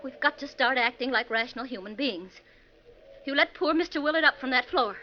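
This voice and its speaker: A woman's voice: high voice, lively intonation, fairly quick. An actress playing a distraught, earnest young married woman in a 1940s radio drama. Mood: upset, earnest. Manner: earnest, agitated, theatrical. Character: distraught young married woman, a high-strung bus passenger. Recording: muffled, noisy old radio recording.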